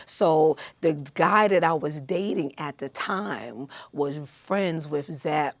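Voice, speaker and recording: A middle-aged woman with a New York accent, chatting as an interview guest and telling her story with quick laughs. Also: low-pitched, dry recording. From Jamaica, Queens, New York. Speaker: guest, fitness instructor. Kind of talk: casual interview chat.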